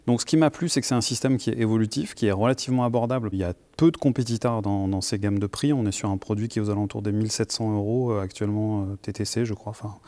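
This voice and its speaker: A man's voice: fairly low pitch, quick pace, hardly any pauses, dark tone, dry recording. A man giving a casual, enthusiastic product review to camera in an informal, colloquial way. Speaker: video creator reviewing gear.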